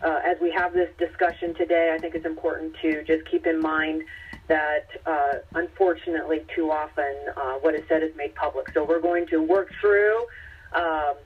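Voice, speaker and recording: An American woman moderating a conference call, giving formal opening remarks in a halting, measured way over the phone. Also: low-pitched, fairly even intonation, breathy edge. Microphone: telephone conference call. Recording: tinny, muffled distant phone line.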